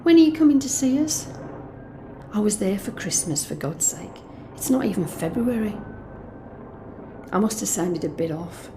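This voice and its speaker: A woman's voice: medium pitch, expressive, moderate pace, background noise, natural voice. An actress playing a jaded, snobbish young British woman who confides private thoughts in a confessional, sardonic audio drama monologue. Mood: guarded, weary. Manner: confessional, sardonic, muttering asides to herself.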